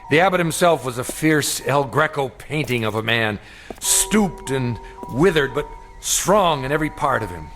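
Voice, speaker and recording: An actor playing an exhausted, desperate lost traveler in a radio drama. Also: male voice, on the low side. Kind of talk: dramatic radio acting with first-person narration.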